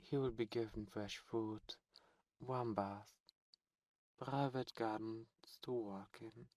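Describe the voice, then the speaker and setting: A man's voice: on the low side, a little mellow, no echo. A man playing a haughty demon prince in a romantic fantasy ASMR roleplay, speaking formally, flirtatiously and with command. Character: haughty, seductive demon prince, courtly and possessive.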